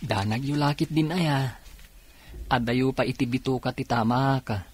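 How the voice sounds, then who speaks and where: A man playing a mild, dutiful police officer son in an Ilocano radio drama, with a slightly husky voice. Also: medium pitch, normal speed, lightly acted. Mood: reassuring.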